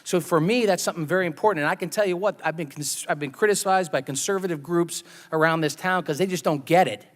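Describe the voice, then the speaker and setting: A man's voice: fairly high pitch, fast talker, few pauses. An American man chairing a legislative hearing, speaking off the cuff and stressing his points emphatically. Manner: emphatic, repeats words for stress. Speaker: committee chairman. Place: large indoor hearing room. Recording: reverberant hearing room.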